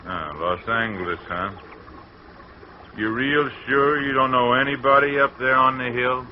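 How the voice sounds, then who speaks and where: A man playing a gruff menacing farmhand. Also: medium pitch, a little slow, slight background noise, lightly acted. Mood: threatening. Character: hulking surly farmhand with a pitchfork. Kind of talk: 1940s radio acting. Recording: muffled old radio broadcast.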